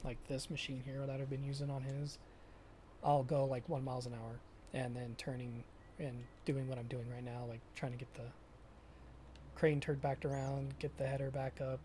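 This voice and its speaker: A young man chatting casually with viewers during a live stream of a farming game, often pausing and restarting his sentences. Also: medium pitch, flat delivery, dark tone, a little hiss or hum. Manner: halting, self-correcting, chatty with viewers.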